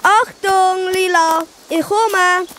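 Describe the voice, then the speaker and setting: A woman's voice: very high-pitched, fairly even intonation, drawn-out words, a bit bright, a little hiss or hum, heavily acted. A woman voicing a keen but sulky young boy with a Swiss German accent in a children's audio play. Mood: excited.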